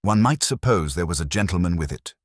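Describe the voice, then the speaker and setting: Man as a pompous, very deep-voiced councillor. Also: expressive, fairly quick, no room sound, studio quality. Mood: pompous, musing. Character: pompous, speculating male town councillor.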